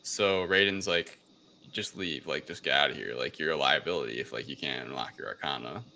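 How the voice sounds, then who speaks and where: A man's voice: extremely low pitch, fairly quick, creaky, bright, forceful tone, slight background noise. A man chatting casually through a film recap, rambling along in slang and slipping into acted-out quotes. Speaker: podcast co-host.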